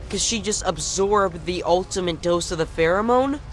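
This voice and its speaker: Wry young mutant teammate over faint rumble played by a man. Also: moderate pace, natural voice. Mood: cautious, wry. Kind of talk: animated video game acting.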